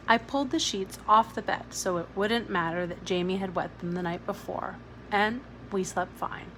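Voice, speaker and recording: A woman's voice: mid-range voice, rough recording. A woman reading a novel aloud in a storytelling narration style. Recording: clear steady background noise.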